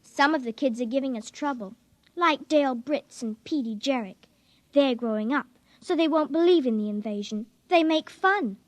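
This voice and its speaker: An actress playing an eerie, calmly sinister little girl in a 1950s radio drama. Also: high voice, moderate pace, no room sound, lightly acted. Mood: calm menace. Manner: matter-of-fact, chillingly calm.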